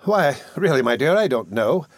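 A man's voice: a little high, very animated, moderate pace, dry recording. A man voicing a kindly, bustling old housekeeper woman with a good-humoured, motherly manner, in a storybook reading.

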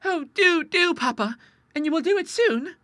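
A woman's voice: very high voice, expressive, moderate pace, pushed and bright, no echo, full character voice. A woman voicing an eager, tender-hearted young woman who pleads breathlessly, in a storybook reading.

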